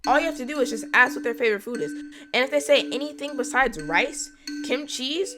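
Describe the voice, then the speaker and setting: A woman's voice: sits high, lively intonation, brisk, music in the background. A woman hosting, giving playful, teasing reaction commentary over the game. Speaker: video host reacting to the game.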